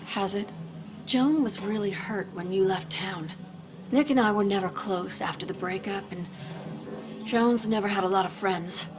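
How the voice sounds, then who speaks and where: A woman's voice: mid-range voice, normal speed, lightly acted. An actress playing a protective small-town mother in a video game, pointed and restrained in her reproach. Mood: reproachful.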